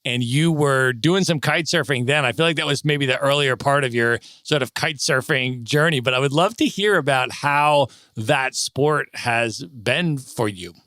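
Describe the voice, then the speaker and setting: Podcast host, male, enthusiastic and chatty, bright and crisp, dry close sound. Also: medium pitch, normal speed, few pauses, no echo. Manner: enthusiastic, talkative. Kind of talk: interview questions.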